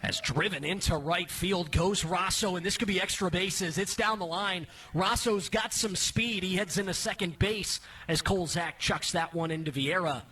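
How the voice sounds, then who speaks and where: Male radio baseball announcer, bright forward voice, clipped play-by-play delivery that lifts with the action. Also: high-pitched, moderate pace, hardly any pauses. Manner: clipped descriptive phrases, rising excitement on action. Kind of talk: radio sports play-by-play commentary.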